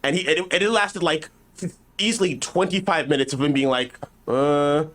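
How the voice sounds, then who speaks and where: A man's voice: fairly high pitch, steady tone, moderate pace, fairly bright tone, a little hiss or hum. A man co-hosting a podcast, telling a casual, profane, rambling story and often acting out other people's lines in mock dialogue. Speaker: podcast co-host.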